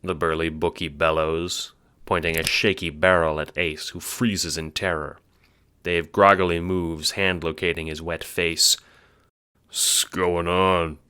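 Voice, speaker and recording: A man's voice: low-pitched, level tone, a little slow, bright, forceful tone, clean tone. A man giving dramatic, scripted storytelling narration for an audio drama.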